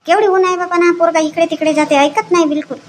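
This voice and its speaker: A woman voicing a chatty, fussing village woman in an animated family comedy. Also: very high-pitched, fast talker, slight background noise. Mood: explaining.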